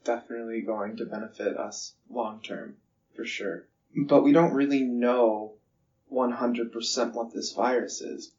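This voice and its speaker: A man's voice: mid-range voice, a little slow, darkish tone, highs cut off. A man of twenty, the host's son, giving brief, plain answers in an interview. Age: 20s.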